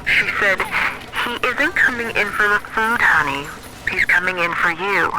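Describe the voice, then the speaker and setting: A woman's voice: medium pitch, measured pace, natural voice. Woman playing a fond wife on a noisy recording. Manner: fond, conversational storytelling. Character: fond, gentle wife reminiscing about courtship. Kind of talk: naturalistic audio diary acting in a game. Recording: noisy recorded audio diary.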